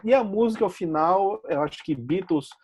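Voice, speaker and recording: A Brazilian man giving recommendations on a talk show, halting and repeating words as he speaks. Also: high-pitched, expressive, slightly rough, no room sound. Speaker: regular guest giving tips. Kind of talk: casual discussion show.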